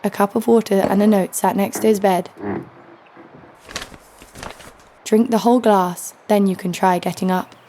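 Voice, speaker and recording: A woman's voice: mid-range voice, steady tone, fairly quick, warm tone, lightly acted. An actress playing a tough young woman fighter, narrating her own story in an audio drama with dry defiance. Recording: clear background noise and ambience.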